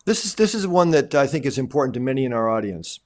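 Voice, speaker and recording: A man's voice: mid-range voice, big pitch swings, fast talker. A man moderating a formal panel, politely thanking speakers and putting questions to them.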